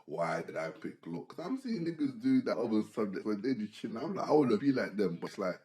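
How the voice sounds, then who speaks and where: A man talks fast and animatedly as a podcast co-host, peppering his sentences with "bro" and "like". His voice sits low and sounds dark and mellow. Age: 20s. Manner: animated, repeats "bro" and "like", restarts a lot. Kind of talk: casual chat.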